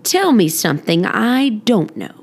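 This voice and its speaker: A woman's voice: mid-range voice, sing-song, unhurried, darkish tone. A woman voicing a playful, patient father in a storybook, wry as he explains things.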